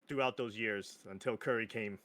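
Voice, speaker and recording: A man's voice: on the low side, moderate pace, bright, forceful tone, dry recording. A man in his thirties, a podcast guest chatting casually, hesitant and laughing often. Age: 30s. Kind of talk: casual chat.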